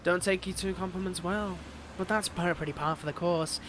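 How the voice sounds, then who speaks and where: A man's voice: high voice, steady tone, noisy background, close to an everyday voice. A man acting as a flirtatious, mocking villain and bitter scorned ex in a dramatic audio roleplay, drawing out his teasing words. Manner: teasing, mocking, drawn-out delivery with pet names.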